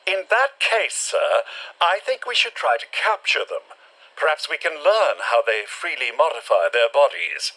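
An actor playing a dry, long-suffering robot butler in a radio serial, formal, deferential and quietly sarcastic. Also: male voice, a little high, sing-song, unhurried, heavily acted, poor audio. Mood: polite, uneasy. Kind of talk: retro radio serial acting.

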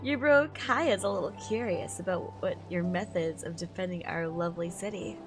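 A woman's voice: on the low side, normal speed, over music, lightly acted. A woman reading out a sassy, nonchalant young male knight from a manga, in a teasing manner.

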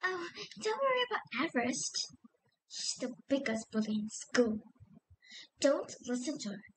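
Friendly cartoon animal girl, acted by a woman: high, gossipy, confiding, schoolgirl manner. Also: very animated, measured pace, rough recording. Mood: reassuring, gossipy. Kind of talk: character audition lines.

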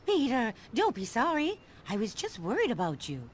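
A woman playing a kindly, anxious elderly aunt with a gentle, motherly manner in a game cutscene. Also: on the high side, huge pitch swings, moderate pace, slight background noise. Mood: caring. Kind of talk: video game cutscene acting.

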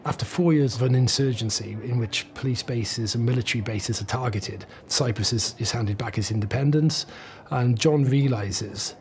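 A man's voice: mid-range voice, moderate pace, darkish tone. A man explaining history in a documentary interview, with occasional restarts and ums. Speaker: historian commentator.

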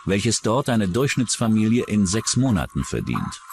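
A man's voice: fairly low pitch, big pitch swings, moderate pace, background noise. A man reading scripted narration for a true-crime documentary.

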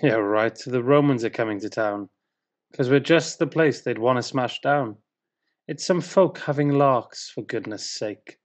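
A man's voice: medium pitch, a little slow, natural voice. British male as a grumpy, sleepless husband played by a man in spoken-word verse; sarcastic, exasperated, sceptical. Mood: sarcastic grumbling.

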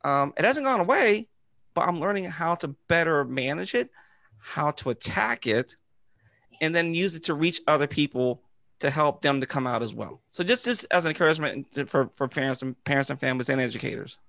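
Gravelly middle-aged guy on a studio boom mic, earnest and encouraging, dry close sound. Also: a little fast, dry recording. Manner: earnest, encouraging, animated hand gestures. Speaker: podcast co-host, educator. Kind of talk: conversational storytelling. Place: small studio room. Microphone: studio mic on boom arm with headphones.

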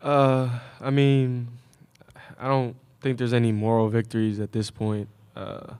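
A man's voice: mid-range voice, level tone, unhurried, a little mellow, clean tone. A man in his early twenties, a college athlete, answering press questions in a hesitant, subdued way. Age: early 20s. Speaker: college basketball player. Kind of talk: postgame press conference answers. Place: indoor press conference room. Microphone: table microphone.

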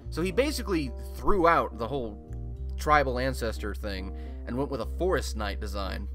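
A man's voice: medium pitch, fairly bright tone. A man playing a chatty, irreverent radio host from a superhero city, talking in a wry, casual monologue. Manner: wry, conversational, mildly sweary.

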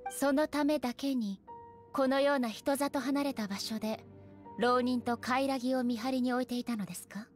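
An actress playing a stern, aloof woman shogun in an anime game, speaking formally with measured authority. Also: high-pitched, steady tone, faint music behind, close to an everyday voice. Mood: stern, composed. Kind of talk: anime game character acting.

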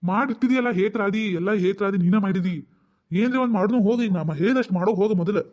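A man's voice: high-pitched, fast talker, a little mellow, close to an everyday voice. A middle-aged man with a North Karnataka Kannada accent, playing an exasperated father who bluntly berates his wife with rhetorical questions in a family drama skit.

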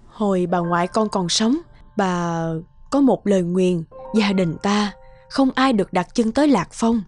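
A woman voicing a worried middle-aged mother in an animated folk tale, speaking in a measured, grave way over music. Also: mid-range voice, pitched below the performer's own voice, lightly acted. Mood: grave, sorrowful. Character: worried middle-aged mother recounting a sad family past. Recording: music under the voice.

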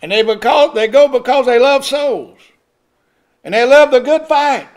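A man's voice: extremely high pitch, measured pace. An older man, preaching a sermon from a church pulpit with rising emphasis and occasional chuckles. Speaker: pastor. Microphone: pulpit microphone.

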